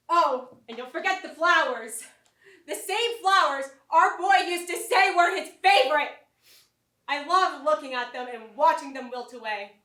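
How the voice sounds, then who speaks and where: A woman's voice: high voice, pushed and bright, recorded from a distance. A woman playing a grieving, bitter mother in a staged reading of a play, with a dramatic, accusatory delivery. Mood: bitter sarcasm. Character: grieving, bitter mother lashing out at her husband. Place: small theatre, live stage performance. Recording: echoey theatre, picked up from afar.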